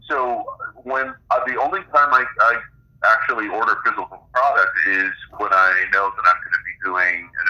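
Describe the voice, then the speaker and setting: Deep, very bright-voiced man on dull line. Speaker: interview guest, author. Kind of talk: conversational interview answers. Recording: dull, band-limited phone or radio line.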